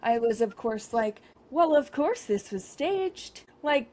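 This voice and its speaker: A woman co-hosting a comedy podcast, talking in a chatty, sarcastic way with quick asides. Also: a little high, animated, darkish tone. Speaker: podcast co-host. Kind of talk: comedic casual chat.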